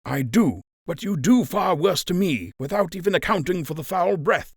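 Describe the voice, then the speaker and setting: A man voicing an older gray male rabbit in an audiobook, proud and formal, with a measured, cutting wit. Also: sits high, animated, husky, no echo, studio quality, voice pushed up, full character voice. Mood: cool mockery.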